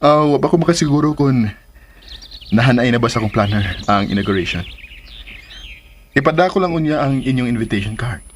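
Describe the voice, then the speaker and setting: An actor with a Cebuano Filipino accent playing an earnest, lovestruck suitor in a radio drama, coaxing and hesitant. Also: male voice, mid-range voice, lively intonation, brisk, long pauses, lightly acted. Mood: hesitant, casual. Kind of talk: Philippine radio drama acting. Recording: radio drama with clear background noise.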